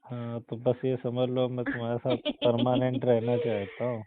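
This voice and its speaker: A man's voice: mid-range voice, monotone, moderate pace, lightly acted. A man playing a sweet-talking suitor, casual and coaxing, in an intimate phone-call conversation. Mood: romantic, coaxing. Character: smooth-talking suitor sweet-talking his lady love. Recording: muffled phone call recording.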